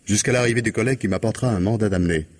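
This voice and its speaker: A man acting an implacable police investigator in a vintage French radio mystery. The voice is low and husky, and he speaks with deliberate, articulate authority, giving orders like someone who will not be moved. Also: natural voice. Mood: stern, menacing. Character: stern, implacable male police investigator confronting a suspect.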